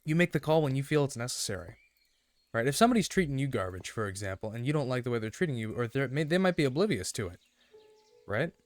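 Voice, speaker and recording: Slightly raspy man chatting over gameplay. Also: medium pitch, expressive, fast. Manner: earnest, often checking in with "right?". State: throat a bit rough. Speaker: gaming video host. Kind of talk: casual rambling gameplay commentary with life advice.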